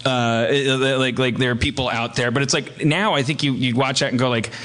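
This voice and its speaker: A man hosting a comedy podcast live, telling rambling unscripted stories in a halting, stammering, sweary way. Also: mid-range voice, steady tone, a bit bright. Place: live show before an audience. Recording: noisy live stage recording.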